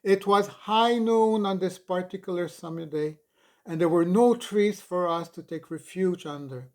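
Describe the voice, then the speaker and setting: A man in his sixties from Montreal reading his memoir aloud in an expressive, deliberate, dramatic narrating style. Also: high voice. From Montreal, Canada. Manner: expressive, deliberate, emphatic storytelling. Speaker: author reading own memoir.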